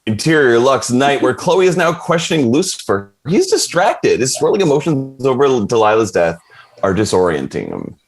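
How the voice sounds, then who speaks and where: A man's voice: mid-range voice, sing-song, normal speed, slightly rough. A man narrating stage directions from a script at a television table read.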